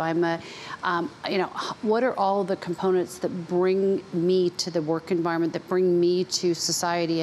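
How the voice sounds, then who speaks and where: A woman with a somewhat low voice speaks unscripted on a panel. She is emphatic and comes back to key phrases. There is faint background noise. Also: darkish tone, poor audio.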